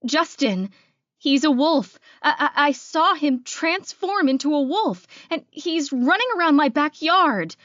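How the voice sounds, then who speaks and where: A woman's voice: high voice, expressive, a little slow, a bit bright, no echo, lo-fi sound, voice pushed up. A woman voicing a terrified, pleading young woman in an audiobook, stammering and trembling. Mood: panicked, pleading. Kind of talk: audiobook character acting.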